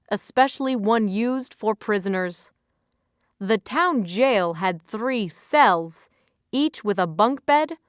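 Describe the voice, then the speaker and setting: A woman reading scripted vocabulary entries and example sentences as an educational narrator, enunciating carefully in a measured way. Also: mid-range voice, clean tone, no room sound, clean studio sound.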